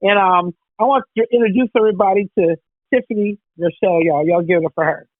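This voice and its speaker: A woman's voice: medium pitch, fast talker, dry recording. Chatty woman host on a tinny phone line. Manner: chatty, lots of "you know". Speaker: radio host. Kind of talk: casual radio interview.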